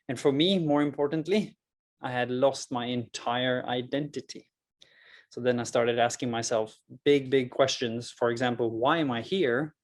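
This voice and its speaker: A man in his thirties from rural Norway, speaking English with a Norwegian accent as he gives a personal, earnest presentation with deliberate, emphatic pauses. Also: mid-range voice. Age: 30s. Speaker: public speaker, mental health project founder.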